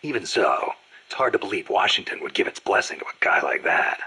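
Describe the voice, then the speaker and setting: An actor playing a gruff, battle-worn middle-aged soldier in a video game, terse and probing, with a gravelly, raspy growl. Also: male voice, low voice, very animated, fairly quick, sharp tone, in a whisper, full character voice, low-quality recording. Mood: wry, grim. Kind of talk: scripted video game voice acting.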